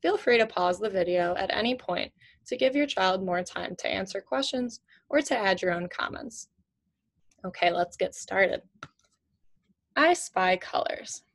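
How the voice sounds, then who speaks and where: Young woman reading slowly to children, lively pitch. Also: vocal fry. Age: young adult, 20s. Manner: slow, simple, teacherly phrasing with questions. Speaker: student reading a picture book aloud to children. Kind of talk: children's read-aloud with questions. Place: small indoor room at home with shelves.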